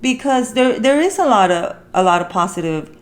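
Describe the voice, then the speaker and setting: A woman's voice: a little high, very animated, normal speed. A woman hosting a casual movie review talk, speaking earnestly and repeating phrases for emphasis. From Mexican heritage. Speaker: talk show host.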